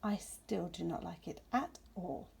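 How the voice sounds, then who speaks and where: A woman's voice: fairly low pitch, expressive, measured pace, gravelly, darkish tone, a little hiss or hum, full character voice. A woman voicing an eager, timid young baby owl boy in a children's storybook. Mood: earnest. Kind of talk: children's picture book reading.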